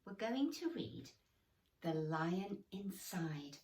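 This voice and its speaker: Woman, children's story reader, very lively pitch, unhurried pace, expressive and encouraging. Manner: animated, gently encouraging, addressing children directly. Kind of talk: expressive bedtime story reading for young children. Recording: roomy echo, distant microphone.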